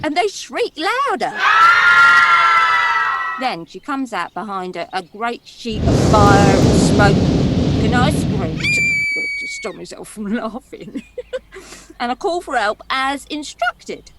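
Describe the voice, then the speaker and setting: A high, swooping, theatrical damsel. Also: female voice, wildly swooping pitch, takes it slowly, pauses often, a loud crowd, very noisy, exaggerated voice. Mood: animated, mischievous. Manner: dramatic, gossipy, confiding. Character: cheeky, theatrical young woman, a starry-eyed would-be damsel. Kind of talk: stage play storytelling monologue.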